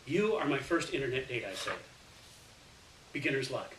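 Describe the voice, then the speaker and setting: A man reads a first-person literary story aloud as an expressive storyteller, performing the dialogue within the narration. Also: medium pitch, normal speed. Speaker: story reader. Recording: roomy, distant mic, faint hiss.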